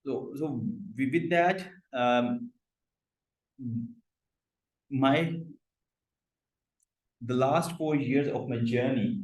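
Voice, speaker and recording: A man's voice: mid-range voice, roomy sound, rough recording. A man from Pakistan hosting an online club meeting, speaking with a stammer and frequent fillers over a video call. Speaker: meeting host.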